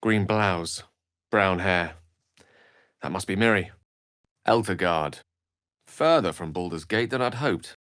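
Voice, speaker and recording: An actor playing an eloquent, wry wizard in a video game, speaking in articulate, theatrical phrases with an earnest streak. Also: male voice, sits low, slow pace, pauses often, fairly bright tone, no echo, clean studio sound. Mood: observant. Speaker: video game companion character. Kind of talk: scripted video game voice acting.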